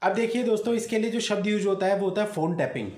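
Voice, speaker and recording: A man with a high-pitched voice explains things like an advocate teaching his viewers. He is didactic and speaks straight to the listener. The sound is roomy, with clear echo. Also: fast talker, over loud music. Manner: didactic, addresses listeners often as friends. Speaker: advocate presenting a legal advice channel. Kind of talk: explanatory legal talk to camera.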